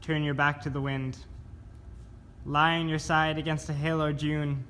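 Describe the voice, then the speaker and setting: Male spoken-word narrator, slightly high voice, deliberate and measured, clear noise on the recording. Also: little pitch movement, pushed and bright. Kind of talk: scripted spoken-word narration of poetic survival instructions.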